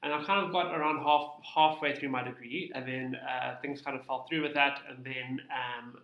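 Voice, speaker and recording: A man with a South African accent, an interview guest telling his story casually in an informal, halting way, in a roomy recording. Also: medium pitch, steady tone, fairly bright tone. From Cape Town, South Africa. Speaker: interview guest, tax practitioner. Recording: roomy, echoey sound.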